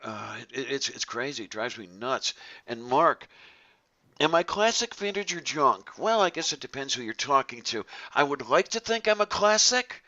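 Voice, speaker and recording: A man's voice: mid-range voice, big pitch swings, normal speed, sharp tone. A man hosting a casual live-stream chat, talkative and laughing often. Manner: chatty, laughs often, restarts sentences. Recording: dull lo-fi stream audio.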